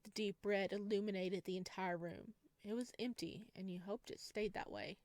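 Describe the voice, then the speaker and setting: A woman reading a visual novel game aloud in a casual style, breaking into playful, expressive reactions. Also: medium pitch, normal speed, no room sound.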